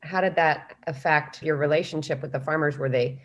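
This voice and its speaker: A woman's voice: low-pitched, fairly even intonation, brisk. A woman hosting an interview podcast, asking questions and adding narration.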